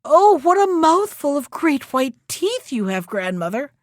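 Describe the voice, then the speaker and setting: Woman as an innocent, high-voiced little girl. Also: big pitch swings, a little slow, no room sound, clean studio sound. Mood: astonished. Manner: bright-eyed, naive, exclamatory.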